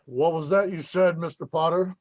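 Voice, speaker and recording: An actor playing an earnest small-town board member in a 1940s radio play. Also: male voice, a little high, fairly even intonation, moderate pace, dry recording, natural voice. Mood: challenging.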